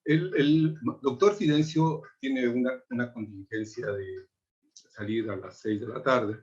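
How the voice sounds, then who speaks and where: A man moderating an online panel, halting and restarting often as he speaks. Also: medium pitch, darkish tone, muffled recording. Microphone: video call.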